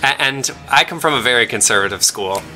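A man's voice: mid-range voice, animated, brisk, bright, forceful tone, faint music behind, rough recording. A young man from Pennsylvania telling a rambling story with lots of fillers while co-hosting a game playthrough. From Pennsylvania, USA. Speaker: let's play co-host. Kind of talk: casual chat over gameplay.

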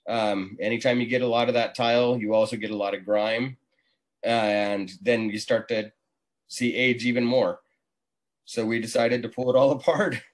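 A man's voice: medium pitch, little pitch movement, moderate pace. A man in his forties, an easygoing contractor talking casually as a guest on a video call. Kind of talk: casual interview talk. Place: small indoor room, video call. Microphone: computer mic on a video call.

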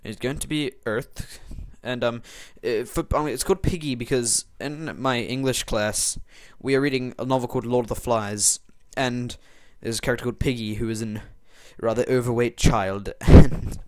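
A man's voice: mid-range voice, fairly even intonation, normal speed, few pauses, a little mellow. A teenage boy giving casual, rambling commentary over a screen recording, muttering and mocking himself as he types. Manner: rambling, self-mocking, muttering while typing. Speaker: video maker.

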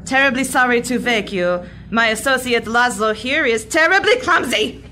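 A woman's voice: a little high, expressive, moderate pace, background noise, close to an everyday voice. A woman playing a stern, formal villain posing as Swiss, in a comic radio drama. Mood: cold politeness. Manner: clipped, formal, imperious.

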